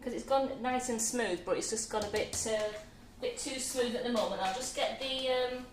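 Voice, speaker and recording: A woman's voice: a little high, normal speed. A woman with a Manchester accent demonstrating a recipe live in a kitchen, talking through each step as she works. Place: home-style kitchen. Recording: echoey kitchen with background hum.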